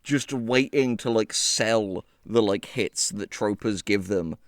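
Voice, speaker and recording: A man's voice: lowish voice, slow, no echo, studio quality. A man co-hosting a comedy podcast in casual chat, joking profanely and hyperbolically and reading things aloud mockingly. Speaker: podcast co-host.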